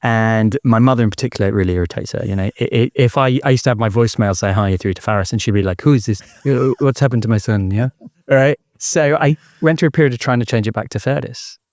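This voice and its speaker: A man's voice: mid-range voice, fast, no echo, clean studio sound. A British man speaking reflectively as an interview guest, thoughtful and checking his points with little tag questions. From United Kingdom. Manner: thoughtful, peppers points with "right?". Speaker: interview guest, inclusion consultant.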